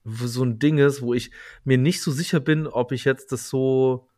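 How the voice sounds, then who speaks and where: Mid-pitched man, circling his point. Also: steady tone, moderate pace, darkish tone. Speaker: podcast co-host. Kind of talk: casual music review chat.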